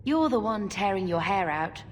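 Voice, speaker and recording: A woman's voice: mid-range voice, big pitch swings, moderate pace, slight background noise, close to an everyday voice. A woman playing a cool, tart, smugly unruffled mage companion in a video game. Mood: tart, impatient. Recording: echoing hall, music behind.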